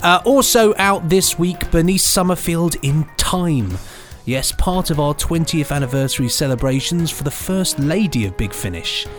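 A man's voice: a little high, animated, normal speed. Playful man presenting, faint music underneath. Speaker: podcast co-host. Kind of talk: upbeat podcast news presenting with banter.

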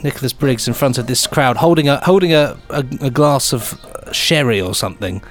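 A man's voice: mid-range voice, brisk, soft background music. A man co-hosting a podcast in an informal, chipper chat, often chiming in. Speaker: podcast co-host. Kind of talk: casual chat.

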